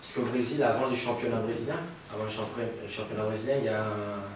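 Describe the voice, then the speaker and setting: A man's voice: lowish voice, little pitch movement, quick pace. A man of about twenty from French Guiana, casually telling his story as an interview guest. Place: radio studio. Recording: roomy, distant mic, noisy ambience.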